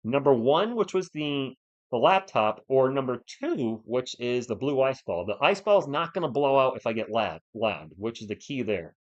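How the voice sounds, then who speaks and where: A man's voice: medium pitch, sing-song, normal speed, muffled recording. A man hosting a casual live stream from a home setup, chatting with viewers over a laptop mic. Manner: chatty, talks to chat, loud bursts when testing. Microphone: laptop or desk mic.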